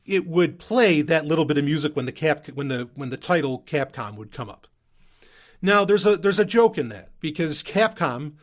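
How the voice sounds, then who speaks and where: A man hosting a podcast in a casual, unscripted monologue, often interrupting himself and drifting into asides. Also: a little high. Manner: rambling, self-interrupting, with frequent hedging asides. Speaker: podcast host.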